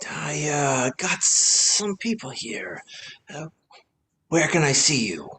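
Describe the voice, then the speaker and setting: An actor playing a quick-witted, take-charge private detective in a radio play. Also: male voice, a little high, very animated, pushed and bright, slight background noise, full character voice. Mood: guarded, bluffing.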